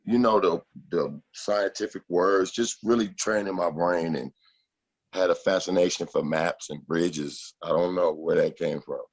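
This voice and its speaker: A man in his forties, a guest on an interview show, telling stories about his childhood with animated storytelling. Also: deep voice, measured pace, gravelly, breathy edge. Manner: animated storytelling with acted quotes.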